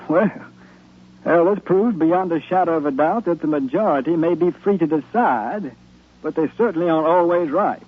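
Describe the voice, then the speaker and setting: An actor playing a kindly, amused old grandfather with a husky voice, hesitant and indulgent, in a 1950s radio drama. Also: fairly high pitch, moderate pace, natural voice. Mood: amused, patient. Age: older. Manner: hesitant, chuckling, indulgent with children. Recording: muffled, tinny 1950s radio recording.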